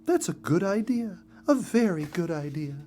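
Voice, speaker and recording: A motherly lady bluebird from a children's story, acted out by a man. The voice is shifted well up in pitch, soft and sweet, with a tender, fussy manner. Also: sing-song, moderate pace. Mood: pleased approval.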